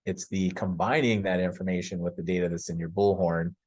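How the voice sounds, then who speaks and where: A man giving an explanatory business presentation in a conversational, halting way, often repeating words as he thinks. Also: sits low, steady tone, a little mellow. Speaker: company presenter.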